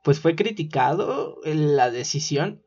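A man's voice: a little high, expressive, slow pace, a little mellow, breathy edge. A man with a Mexican accent, co-hosting a casual chat about a TV series. He laughs often and explains at length with fillers. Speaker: podcast co-host. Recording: dull lo-fi recording.

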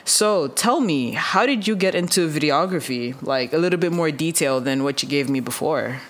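A woman's voice: deep voice, expressive, moderate pace. A woman hosting a podcast. She reads a guest introduction, then asks questions. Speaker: podcast host. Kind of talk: podcast interviewing.

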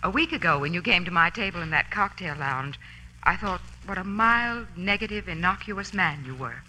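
Bright, coy rich divorcee. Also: female voice, lowish voice, animated, moderate pace. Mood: coy, flirtatious. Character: rich, flirtatious, guarded divorcee. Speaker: radio actress. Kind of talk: old-time radio drama acting. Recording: noisy old radio recording.

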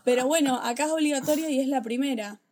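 A woman with an Argentine Spanish accent and a slightly high voice chats on a podcast. She jokes with dry irony and laughs easily. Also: quick pace, a little mellow. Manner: jokey, ironic, laughs often. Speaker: podcast co-host. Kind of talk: casual chat.

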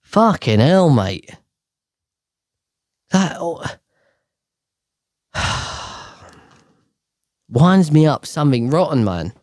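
A man's voice: fairly high pitch, very animated, extremely slow, pauses often, a little mellow, no echo, clean studio sound. A man ranting casually to camera, profane and exasperated, rambling with sighs and restarts.

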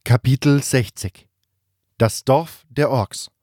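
A man's voice: mid-range voice, slow pace, background noise. A man reading a scripted first-person fantasy story as an audiobook narrator.

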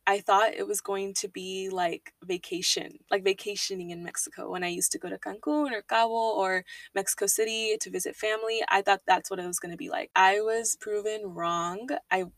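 A woman's voice: medium pitch, dry recording, distant mic. Twenties woman from Texas, podcast host, candid personal monologue, a little off-mic, dry room. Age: 20s. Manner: candid, emotional, fillers and restarts.

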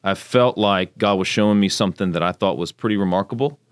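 Texan man, very low voice, rambling candidate in a dry room. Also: fast talker, smooth, dry recording. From Texas, United States. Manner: chatty, rambling, faith-focused storytelling. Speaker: congressional candidate, guest. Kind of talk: casual interview talk.

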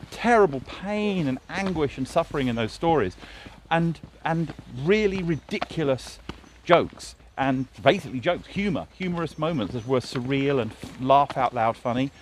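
A man's voice: on the high side, expressive, moderate pace. A man, a television drama writer, talking in a casual, thoughtful way with many fillers and restarts. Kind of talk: casual conversation. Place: outdoors by a road. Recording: faint street noise with passing traffic.

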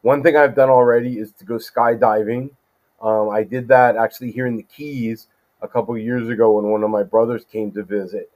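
A middle-aged man from California, a judge as podcast guest, answering in a thoughtful, measured way with frequent fillers. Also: mid-range voice, steady tone, darkish tone, poor audio. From California, USA. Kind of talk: casual interview conversation.

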